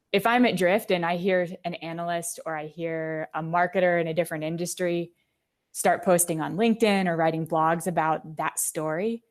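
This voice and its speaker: A woman speaking as a podcast guest, explaining things articulately in a conversational interview style. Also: lowish voice. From United States. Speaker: marketing executive, podcast guest.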